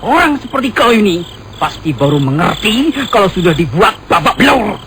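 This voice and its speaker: A man's voice: sits high, sing-song, moderate pace, heavy background noise. A man acting a hot-tempered, arrogant warrior in an Indonesian radio drama, blustering and threatening. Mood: insulted, threatening. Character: hot-tempered, arrogant male warrior bullying a rider on the road.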